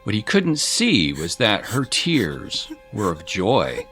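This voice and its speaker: Low-voiced male story narrator. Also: wildly swooping pitch, takes it slowly, a bit bright, breathy edge, faint music behind. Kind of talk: scripted dramatic story narration.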